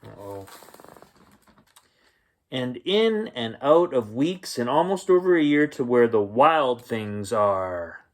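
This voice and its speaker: A man reading a children's picture book aloud as a storyteller, expressive and unhurried, with gentle asides to the listeners. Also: mid-range voice. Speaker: bedtime story reader and host.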